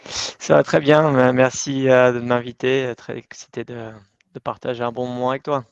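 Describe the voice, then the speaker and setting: A middle-aged man speaks in a smooth, clean voice that barely rises or falls. He chats casually as an interview guest, full of fillers and restarts. The recording is dry and a little dull. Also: mid-range voice, moderate pace, dry recording. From Iran and Switzerland, raised in the United States. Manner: animated storytelling with many fillers and restarts. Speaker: company cofounder, interview guest.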